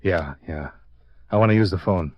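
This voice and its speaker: A man's voice: sits low, moderate pace, no echo, lo-fi sound. An American man playing a tough, blunt insurance investigator in a Golden Age radio drama, with clipped, commanding, hard-boiled delivery. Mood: brisk, businesslike. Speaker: radio drama lead and narrator. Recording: old radio drama recording, dry studio.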